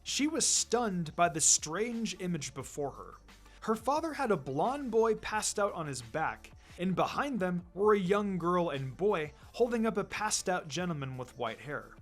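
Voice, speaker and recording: A man's voice: high voice, very animated, a little slow. A man reading fan fiction aloud as a dramatic scripted narration. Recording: music under the voice. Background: background music.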